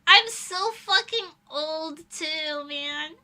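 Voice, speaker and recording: A woman's voice: high-pitched, drawn-out words, bright, forceful tone. A young woman livestreamer chatting casually in an informal, self-deprecating, rambling way.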